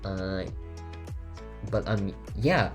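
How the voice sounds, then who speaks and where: A man's voice: low-pitched, drawn-out words. A teenage boy whose first language is Lithuanian, chatting casually in a voiceover over game footage, rambling with lots of fillers and restarts. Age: teens. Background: faint background music.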